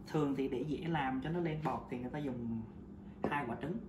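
A man's voice: mid-range voice, fairly quick, distant mic. Chatty man demonstrating recipe in echoey kitchen. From Vietnam. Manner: relaxed, chatty, frequent hesitation fillers. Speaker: home cooking vlogger. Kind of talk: casual how-to demonstration. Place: home kitchen, indoors. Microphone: camera mic on counter. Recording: roomy kitchen, voice off-mic, loud background noise.

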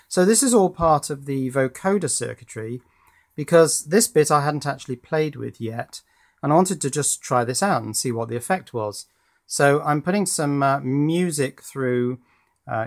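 A man presenting an informal hands-on electronics demonstration in a matter-of-fact, explanatory way. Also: medium pitch.

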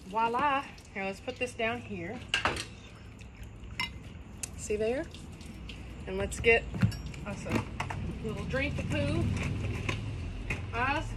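A woman's voice: on the high side, very noisy. A middle-aged woman, rambling chattily through a casual cooking demo while sitting in a kiddie pool outdoors, recorded on a phone camera. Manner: chatty, rambling, folksy asides. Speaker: cooking vlogger. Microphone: phone camera on a table.

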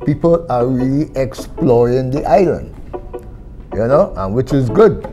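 A man's voice: mid-range voice, lively intonation, unhurried, dark tone, soft background music. An older man, chatting on a panel and often saying "you know". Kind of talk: panel discussion.

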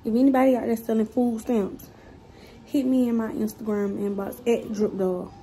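A young woman chats blunt and teasing into a phone at arm's length, her voice a little high. The recording is casual and noisy. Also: fairly even intonation, normal speed, dark tone. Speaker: vlogger. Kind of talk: casual vlog chat. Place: grocery store, then home. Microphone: phone held at arm's length.

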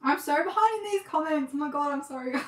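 A young Australian woman chatting casually on a livestream from her sewing room, reading comments, talking fast and laughing often. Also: sits high, expressive, roomy sound. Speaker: livestream host, scrunchie maker. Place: home sewing room, indoors.

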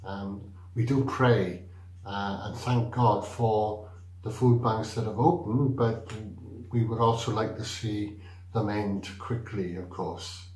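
Slow-spoken older man preaching. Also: on the low side, steady tone, darkish tone, slight background noise. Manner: slow, measured preaching with frequent fillers. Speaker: church devotional speaker. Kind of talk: daily devotional talk. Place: small indoor room, plain wall. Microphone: webcam or camera facing him at desk. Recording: roomy echo.

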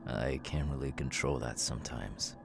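A man's voice: a bass voice, normal speed, vocal fry, over music. A man playing an unhinged young killer with tics and a stutter, stammering, muttering and yelping out sudden words in an ASMR audio roleplay. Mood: erratic, manic outbursts. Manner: stammering, sudden yelped outbursts, muttered asides.

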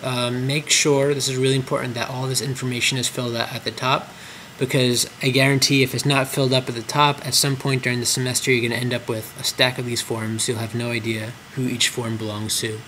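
A man's voice: medium pitch, little pitch movement, a little fast, hardly any pauses, fairly bright tone, slight background noise, rough recording. A man walking through clinic tracking forms on screen, explaining step by step with frequent ums and uhs. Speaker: clinic trainer. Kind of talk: screen-recorded software tutorial.

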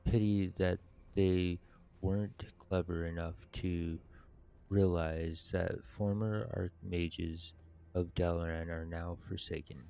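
A man in his thirties who hosts gaming videos, reading game text and commenting in a measured, halting, casual way. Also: low voice, fairly even intonation, gravelly, dark tone, clear, resonant tone. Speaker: gaming video host reading quests aloud. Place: indoors at a computer.

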